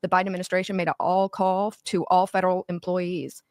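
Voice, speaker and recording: A woman's voice: lowish voice, flat delivery, fairly quick, smooth, dry recording, studio quality. A woman interviewed as a guest, telling her story fluently and steadily.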